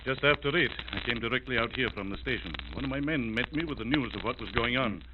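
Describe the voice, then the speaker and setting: An actor playing a dry, matter-of-fact police chief giving terse, businesslike reports in a 1930s radio drama. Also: male voice, medium pitch, quick pace, lightly acted. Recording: noisy, clicking, dull 1930s radio recording.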